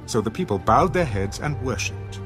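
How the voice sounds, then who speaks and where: A male narrator reads scripture as part of an audio drama. His voice is mid-pitched, with prominent music playing behind the scripted narration. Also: expressive, normal speed.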